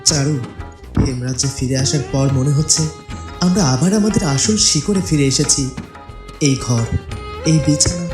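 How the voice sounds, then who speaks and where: A man playing a loving, sentimental young prince in a cartoon fairy tale, speaking softly and earnestly. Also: a little high, normal speed, long pauses, lightly acted. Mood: tender. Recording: echoey, loud music underneath.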